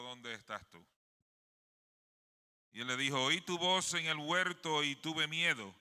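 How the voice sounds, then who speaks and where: A man's voice: mid-range voice, pushed and bright, smooth. A man with a Puerto Rican accent preaches a sermon emphatically, often checking for agreement from his congregation. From Puerto Rico. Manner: emphatic, often seeks agreement with tag questions. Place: indoors in a sanctuary. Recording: long hall reverb.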